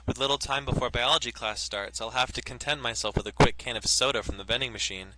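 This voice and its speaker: A man's voice: medium pitch, fast talker, heavy background noise. A man reading a visual novel aloud and cracking sarcastic jokes about the writing, with game music behind. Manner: sarcastic, playful commentary. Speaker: let's play host.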